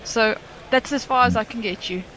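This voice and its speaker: A woman from South Africa chatting as a cricket co-commentator, relaxed and conversational. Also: on the high side, moderate pace, a little hiss or hum, low-quality recording. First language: English; Afrikaans is her second language. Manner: chatty, easygoing analysis. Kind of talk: live sports commentary chat.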